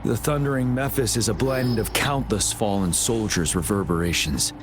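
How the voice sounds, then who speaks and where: An actor playing a stern, dignified army general in a video game cutscene, speaking formally with measured, resolute courtesy. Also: male voice, lowish voice, noisy background, natural voice. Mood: grave, solemn. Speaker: military commander in a video game. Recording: music behind the voice. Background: game soundtrack music.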